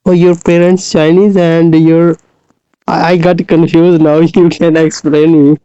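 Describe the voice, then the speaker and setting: A man's voice: fairly high pitch, little pitch movement, measured pace, dark tone, smooth, no echo, lo-fi sound. An Indian man with an Indian accent, hosting a casual chat, reassuring and chuckling, with many fillers. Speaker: host.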